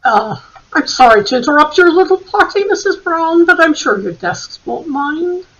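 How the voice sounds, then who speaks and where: A shrewd, brisk-minded police sergeant played by a woman in a radio mystery. The voice is high and swings widely, with theatrical musing between questions. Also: sing-song, a bit bright, lo-fi sound. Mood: musing, knowing.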